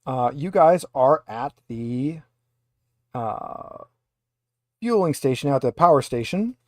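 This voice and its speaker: A man running a tabletop roleplaying game as game master, speaking haltingly as he thinks aloud. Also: medium pitch, animated, no echo, studio quality.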